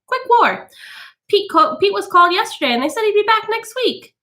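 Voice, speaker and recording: A woman's voice: very high voice, sing-song, normal speed, pushed and bright, voice pushed up, heavily acted. A woman voicing a breezy, self-absorbed, giggly society wife in a storybook, chattering flippantly.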